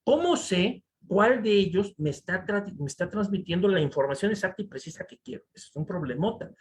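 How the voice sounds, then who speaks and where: A man with a Mexican accent giving a conference lecture over slides, animated and full of rhetorical asides to the audience. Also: high-pitched, brisk. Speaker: conference speaker. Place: conference hall.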